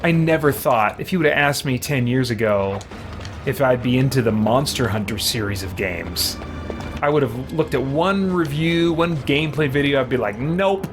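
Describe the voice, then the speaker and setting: A man's voice: mid-range voice, expressive, a little slow. Musing man streaming a game over music. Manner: musing, rambling, thinking aloud. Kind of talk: casual live-stream chat and game commentary. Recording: music playing behind the voice.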